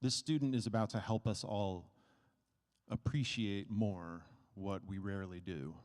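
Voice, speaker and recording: A man, a project advisor, giving a formal stage introduction in measured, deliberate phrases with pauses. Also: on the low side, steady tone, darkish tone, smooth. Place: stage in a large hall. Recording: echoing hall with long reverb.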